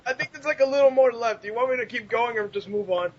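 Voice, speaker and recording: A man's voice: very high-pitched, fast. A man co-hosting a podcast in casual banter, giggly and self-mocking. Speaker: podcast co-host. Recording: muffled lo-fi podcast audio.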